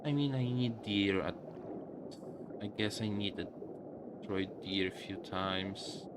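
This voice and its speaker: Male streamer, low register, halting casual play commentary at a slow pace, music playing behind. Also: background noise. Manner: halting, musing aloud to viewers. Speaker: game streamer. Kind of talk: casual live game commentary.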